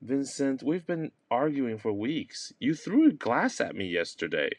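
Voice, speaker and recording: A man's voice: medium pitch, very animated, measured pace, a little mellow, no echo, pitched above the performer's own voice. A man playing a plain-spoken artist in a stage comedy who is fed up with his friend and protests in exasperation. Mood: weary.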